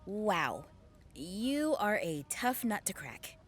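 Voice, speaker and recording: A woman's voice: mid-range voice, very animated, slow. A woman playing a confident, flirty army officer in an audio drama, teasing and reassuring. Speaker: lieutenant colonel.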